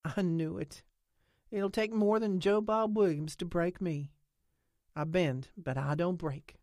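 A woman narrator acts out an anxious rural woman in an audiobook. She is guarded and defiant, with halting, insistent dialect speech. The sound is dry and close. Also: fairly low pitch, steady tone, darkish tone, no echo. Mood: defiant, grim. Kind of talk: audiobook character acting.

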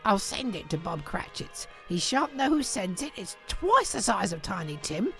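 A woman voicing an exuberant elderly English gentleman in a storybook reading, emphatic and theatrical. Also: medium pitch, sing-song, normal speed, creaky, soft background music. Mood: excited, delighted. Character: elderly English gentleman, gruff turned giddily jolly.

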